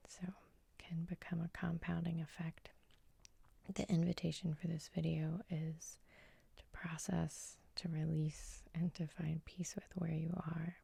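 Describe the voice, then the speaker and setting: Woman, whispered ASMR hypnosis, low and flat, gentle, soothing, breathy, close-miked. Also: a little slow, no room sound. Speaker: hypnotist and host.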